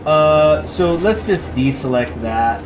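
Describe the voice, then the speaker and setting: A man casually commenting on his strategy game as he plays, thinking out loud and reading the in-game text aloud. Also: fairly high pitch, lively intonation, takes it slowly, noisy background. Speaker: gameplay commentator. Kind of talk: casual let's-play commentary. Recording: faint music underneath. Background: faint game music.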